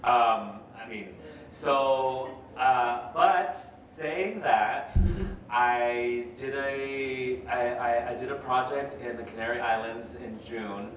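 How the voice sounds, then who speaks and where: A man's voice: mid-range voice, takes it slowly, highs cut off. Chatty man in an echoey hall. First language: Spanish. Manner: animated, chatty, many fillers. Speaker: podcast host and artist. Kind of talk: live podcast Q&A with audience. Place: large gallery hall with audience. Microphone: table mic heard from far off. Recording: echoey hall, far from mic, noise.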